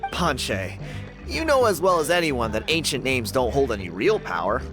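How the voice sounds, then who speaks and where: A man's voice: mid-range voice, big pitch swings, normal speed, close to an everyday voice. Teasing man as a friendly young guy. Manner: casual, teasing. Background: game music.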